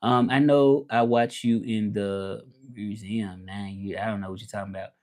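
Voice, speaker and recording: A man's voice: on the low side, steady tone, normal speed, darkish tone. A man from the American South with a Southern accent, chatting casually on a livestream as its host, colloquial and often repeating his phrases. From southern United States. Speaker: livestream host, language content creator. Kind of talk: casual livestream chat, switching between English and French.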